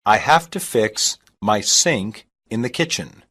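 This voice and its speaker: A reader saying short English practice sentences slowly and evenly, each one twice, for a language lesson. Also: mid-range voice, lively intonation, a bit bright, slightly rough. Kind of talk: slow repeated phrase reading.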